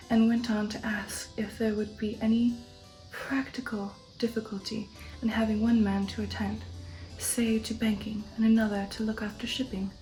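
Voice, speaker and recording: A woman narrating a novel aloud in a soft, partly whispered ASMR style, measured and careful. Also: medium pitch, low-quality recording.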